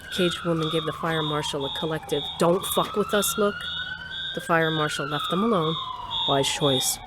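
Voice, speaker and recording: A woman plays a bold female lead in an audio drama. The voice sits a little low, moving between brisk first-person narration and dialogue. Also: heavy background noise, natural voice. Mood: determined. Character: brisk, bold heroine narrating her rescue adventure.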